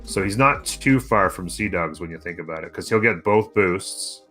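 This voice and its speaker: A man co-casting a game in casual live commentary, halting as he thinks aloud. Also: sits low, lively intonation, a bit bright, faint music behind. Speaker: co-caster and streamer.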